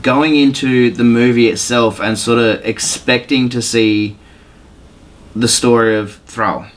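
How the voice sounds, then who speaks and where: A man talking casually as a podcast panelist, with a somewhat halting, hesitant delivery. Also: medium pitch, fairly even intonation. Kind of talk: casual podcast chat about films.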